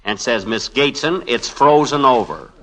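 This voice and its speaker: Man playing a brash, animated young newspaperman. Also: medium pitch, moderate pace, lightly acted. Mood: sardonic. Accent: American. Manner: snappy, sarcastic. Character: brash, wisecracking, hot-tempered young newspaperman. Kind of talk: 1940s radio acting. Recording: noisy, clicky 1940s radio recording.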